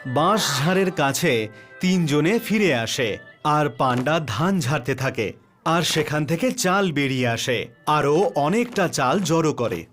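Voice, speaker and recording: A man's voice: a little high, lively intonation, measured pace, fairly bright tone, soft background music. A man narrating a scripted children's story as the storyteller.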